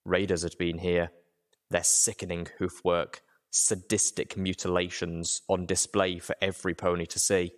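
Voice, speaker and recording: A man's voice: deep voice, flat delivery, moderate pace. A man reading a first-person story aloud as a dramatic audiobook narration.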